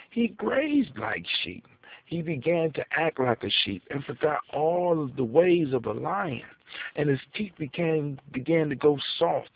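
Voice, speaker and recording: A man's voice: fairly high pitch, gravelly, slightly rough. A man tells a folktale aloud in an improvised, dramatic way. He leans on key words and repeats phrases for emphasis. The sound is narrow like a phone line and quite dry. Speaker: storyteller. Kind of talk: improvised oral folktale telling for adults. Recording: echoey, muffled phone-like audio.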